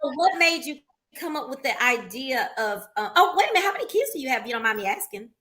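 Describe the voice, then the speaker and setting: A woman's voice: high-pitched, big pitch swings, fast, sharp tone. A woman hosting a casual chat interview, asking questions and responding in an informal conversational way. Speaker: interview host.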